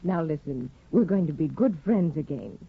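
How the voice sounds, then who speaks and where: Cool, mocking young wife, lively pitch, husky, tinny old radio sound. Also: female voice, moderate pace, exaggerated voice. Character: Hedda, cold, bored, manipulative young wife. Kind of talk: 1950s radio drama acting. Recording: tinny, phone-like 1950 radio broadcast.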